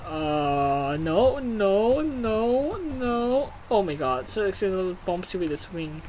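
An excitable gaming host giving casual commentary, exclaiming and swearing while reading game text aloud. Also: medium pitch, slow, slight background noise.

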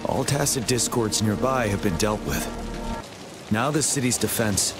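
Resolute general over loud music. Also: male voice, fairly low pitch, unhurried. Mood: grave resolve. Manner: serious, commanding. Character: stoic, resolute young male general. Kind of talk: video game voice acting. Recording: loud music, rain and game sound behind.

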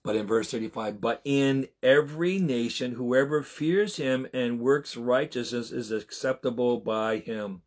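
A man in his sixties with a husky, medium-pitched voice teaches from the Bible in an informal sermon. He talks conversationally and haltingly, often doubling back to rephrase. He is recorded indoors in a small room at home, speaking to a camera. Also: darkish tone. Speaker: preacher.

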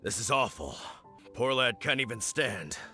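A man's voice: mid-range voice, lively intonation, unhurried, bright, forceful tone, soft background music. A man playing a worried, sympathetic townsman in a video game. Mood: worried, sorrowful. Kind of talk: video game character acting.

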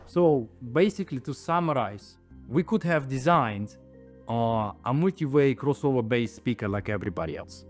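A man in his thirties or forties, an audio designer, explaining products to camera on a lapel mic in a halting, emphatic way. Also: medium pitch, lively intonation. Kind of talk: explaining products to camera, semi-scripted talk. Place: indoor audio showroom. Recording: music playing behind the voice. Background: music.

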